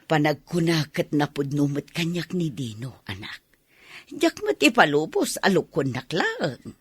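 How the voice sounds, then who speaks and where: A woman's voice: deep voice, sing-song, a little slow, slightly rough, no room sound, heavily acted. An actress with an Ilocano accent playing a bashful middle-aged mother in a radio drama. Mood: warm, hesitant. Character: widowed mother around fifty, bashful about new romance.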